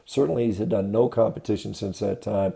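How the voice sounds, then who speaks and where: A man giving unscripted critical commentary as a host, matter-of-fact and halting, often correcting himself. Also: on the low side, vocal fry, warm tone. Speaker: host of a diet commentary video.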